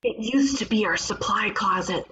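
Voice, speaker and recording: A woman playing a blunt, cocky detective with flippant deadpan delivery in an animated comedy table read. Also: medium pitch, moderate pace, a little hiss or hum, natural voice.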